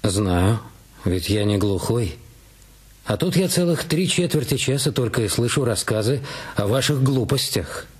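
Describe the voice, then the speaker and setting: A man narrating and playing the inspector in a radio detective play, speaking in a calm, dry, measured way. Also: mid-range voice, breathy edge. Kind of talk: scripted radio drama narration. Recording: studio radio play with music bed.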